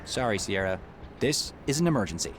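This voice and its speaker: A man's voice: fairly low pitch, sing-song, a little fast, noisy background. An actor playing an earnest, slightly nervous young male inventor in an adventure game, boyish and often musing aloud. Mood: mildly exasperated. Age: young adult.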